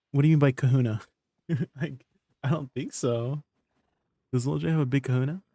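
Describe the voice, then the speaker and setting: A man raised in Canada with Tagalog as his first language, chatting on a live game stream. His mid-range voice is relaxed and laughs often. He works through gameplay tips with lots of ums and restarts. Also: medium pitch, no room sound.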